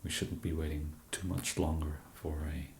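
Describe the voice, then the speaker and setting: An English man in an ASMR roleplay as a shop genius, speaking softly and hesitantly with many fillers and a dry, self-deprecating humour. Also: very deep voice, flat delivery, normal speed, reverberant, slight background noise. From England.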